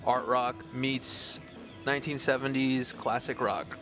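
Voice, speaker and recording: Brief: male singer, Spanish first language, hesitant and thoughtful, mid pitch, club with music behind. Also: level tone, measured pace. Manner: thoughtful, searching for words with many ums. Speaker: singer and guitarist. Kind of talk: casual interview answers.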